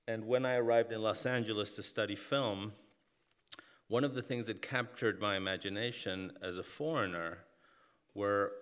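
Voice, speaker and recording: A man speaks on a stage panel, thinking aloud in a somewhat low voice. He circles his points with many "you know"s and quick self-corrections, then presses them home. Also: fairly bright tone, clean tone. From Mexico. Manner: reflective, many "you know" fillers and restarts. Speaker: film and TV director on a panel. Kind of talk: panel discussion.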